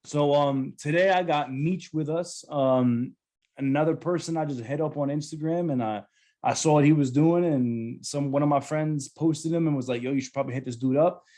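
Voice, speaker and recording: A man hosting a podcast, talking relaxed and hesitant, with plenty of ums. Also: a little high, normal speed. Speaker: podcast host. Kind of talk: casual podcast chat.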